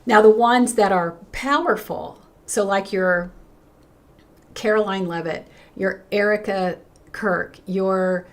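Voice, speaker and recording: An older woman is talking on her own livestream, her voice worn hoarse and fading. She speaks with earnest emphasis, often stopping to restart a phrase, and her pitch lifts brightly when she greets people in the chat. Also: takes it slowly. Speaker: pastor hosting a livestream. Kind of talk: unscripted livestream talk, reading chat comments.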